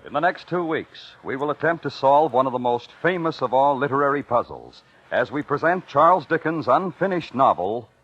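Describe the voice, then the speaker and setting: A man announces a 1950s radio program from a script. His slightly high voice is formal and dramatic, muffled by the old recording, with music playing behind him.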